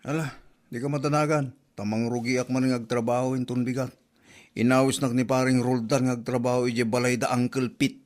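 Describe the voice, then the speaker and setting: A man's voice: mid-range voice, flat delivery, brisk, darkish tone, lightly acted. A man acting a lazy, grumbling village husband with an offhand manner in a comedy drama. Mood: dismissive.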